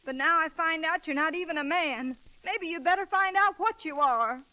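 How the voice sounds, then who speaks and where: High, bright, bossy townswoman. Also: normal speed. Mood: scolding. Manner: blunt, scolding, emotional. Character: outspoken, fussing small-town widow, bossy and anxious. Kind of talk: 1930s-40s radio comedy acting. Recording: old radio broadcast with sizzling crackle.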